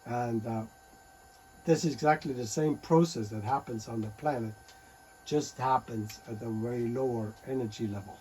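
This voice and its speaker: A man presenting a technical talk on radio and earthquakes, pausing often with fillers and restarts. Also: mid-range voice, darkish tone, slight background noise, poor audio. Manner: halting, many fillers and restarts.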